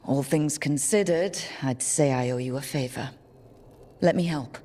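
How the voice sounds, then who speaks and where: An actress playing a resolute, loyal adventurer in a fantasy video game, speaking in a direct, plain way. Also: female voice, a bass voice, unhurried, dark tone. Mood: supportive.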